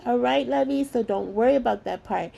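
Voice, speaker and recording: A middle-aged woman hosting a craft channel, narrating a tutorial in a chatty way with a slightly hoarse voice and calling her viewers lovies. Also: on the high side, a little fast. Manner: warm, chatty, calls viewers lovies and y'all.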